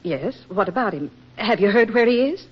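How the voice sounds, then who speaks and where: An actress playing a motherly, gently consoling older female friend in a 1940s radio drama, speaking expressively. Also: mid-range voice, expressive, moderate pace, husky. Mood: concerned. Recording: muffled vintage radio recording with music.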